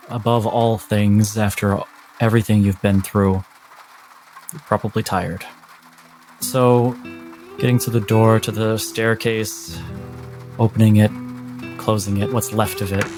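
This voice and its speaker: Slow, low-voiced man as game master. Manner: measured, pausing between short phrases. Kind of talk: slow narration of a tabletop roleplaying game.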